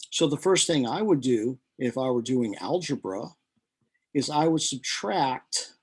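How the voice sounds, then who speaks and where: A man's voice: fairly high pitch, darkish tone, breathy edge. A man teaching chemistry in a recorded lecture, walking through a lab calculation in a conversational way, with fillers, self-corrections and dry asides about himself. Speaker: chemistry instructor.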